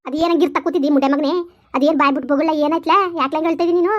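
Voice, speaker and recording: A woman doing a shrill, foul-mouthed village elder sister. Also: fairly even intonation, racing, dull audio, natural voice. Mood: scolding. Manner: berating, abusive, hectoring. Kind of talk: village family drama skit.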